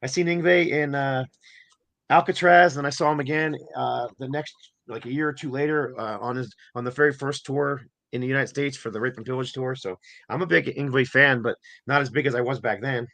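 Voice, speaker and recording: A man in his fifties with a bright, forceful voice, chatting casually on a talk show. He tells rambling, excited stories and restarts often. His voice keeps dropping in and out. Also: medium pitch, a little fast. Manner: rambling, enthusiastic storytelling with restarts. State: voice going in and out. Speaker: podcast co-host. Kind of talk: casual chat.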